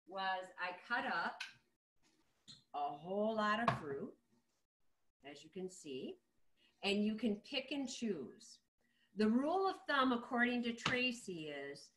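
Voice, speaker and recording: A woman's voice: medium pitch, a bit bright, a little hiss or hum. A middle-aged woman giving an informal, conversational cooking demonstration over a video call. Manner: conversational, explanatory. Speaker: cooking demo presenter. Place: home kitchen, indoors. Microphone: video call, device across the counter. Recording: roomy, echoey video-call sound.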